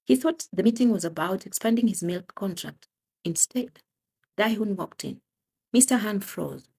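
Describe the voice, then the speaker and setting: Female narrator for crime audio drama: husky, gravelly edge, scripted dramatic storytelling. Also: medium pitch, animated, moderate pace, mellow.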